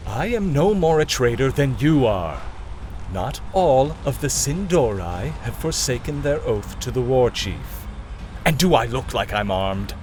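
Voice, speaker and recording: A man's voice: mid-range voice, very animated, measured pace, a little mellow, a little hiss or hum. An actor playing a haughty, wry male elf mage with a polished, sarcastic manner in a fantasy audio drama. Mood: sardonic.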